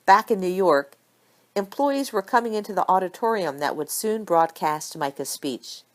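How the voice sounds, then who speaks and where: A woman reading a story aloud as an amateur audiobook narrator, with expressive delivery. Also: medium pitch, normal speed.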